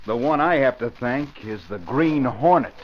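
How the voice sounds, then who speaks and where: An actor playing a betrayed wealthy playboy, bitter, sarcastic and accusing, in 1940s radio crime drama. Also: male voice, medium pitch, lively intonation, moderate pace, natural voice. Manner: sarcastic, indignant. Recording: muffled, noisy vintage radio recording.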